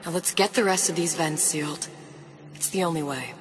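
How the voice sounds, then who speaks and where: A woman's voice: low voice, normal speed, background noise, natural voice. Actress as determined, cool-headed young woman. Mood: cool, resolute. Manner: firm, matter-of-fact, insistent. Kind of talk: dramatic video game acting. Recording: loud music behind the voice.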